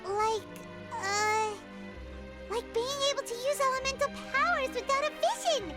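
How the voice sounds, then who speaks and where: A woman voicing a tiny, chatty female fairy companion with a very high, squeaky childlike voice, heard as game dialogue over loud music. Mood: uneasy. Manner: chatty, fretful, quick to react.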